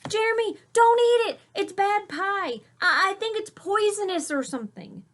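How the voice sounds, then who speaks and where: A woman's voice: very high voice, normal speed, pitched above the performer's own voice. A woman voicing an earnest young boy from a storybook, pleading and emphatic. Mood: panicked, urgent. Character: young boy narrator, earnest, panicky for his friend.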